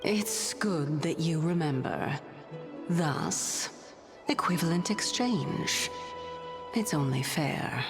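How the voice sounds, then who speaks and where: Low, haughty ancient goddess. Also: female voice, animated, over music, full character voice. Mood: cool, warning. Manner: measured, haughty, teasing. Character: sly, teasing female titan of reason, ancient. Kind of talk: scripted video game dialogue.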